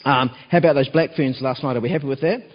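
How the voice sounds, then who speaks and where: Croaky New man preaching, reverberant hall. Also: mid-range voice, fast. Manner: chatty, with many ums and tag questions. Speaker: lay preacher standing in for the pastor. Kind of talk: casual church sermon. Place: church hall. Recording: dull recording with long church hall reverb.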